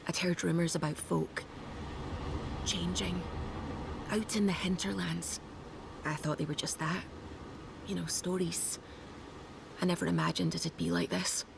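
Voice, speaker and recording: An actress playing a blunt, guarded smuggler in a fantasy video game, with a dry, sardonic way of talking. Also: female voice, fairly low pitch, fairly even intonation, measured pace, gravelly, pauses often, noisy background. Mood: troubled. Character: wry, blunt smuggler woman with a soft heart.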